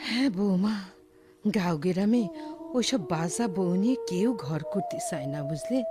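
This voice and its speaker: An actress playing an elderly, grumbling yet doting village grandmother in a Bengali radio drama, with a rural Bangladeshi accent and drawn-out, expressive speech. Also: mid-range voice, over music, lightly acted.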